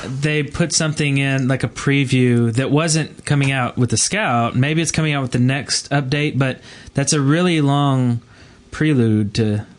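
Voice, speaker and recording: A man with a mid-pitched voice hosts a casual podcast. His phrasing meanders and hesitates as he thinks out loud. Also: a little slow. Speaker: podcast host. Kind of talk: casual chat.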